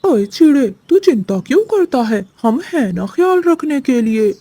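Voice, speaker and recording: A villainous male crow from a children's cartoon, put on by a woman. The voice is raised high, with a mocking, bullying temper. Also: big pitch swings, a little slow, dark tone, no room sound.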